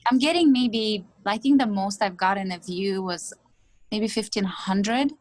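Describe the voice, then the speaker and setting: A woman's voice: medium pitch, unhurried, darkish tone. Woman asking for advice. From Romania. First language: Romanian. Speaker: insurance agent seeking advice. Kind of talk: casual consulting chat.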